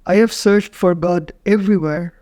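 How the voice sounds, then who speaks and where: A man narrating a guided meditation in short, measured phrases with frequent pauses. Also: high voice, darkish tone, no room sound, clean studio sound.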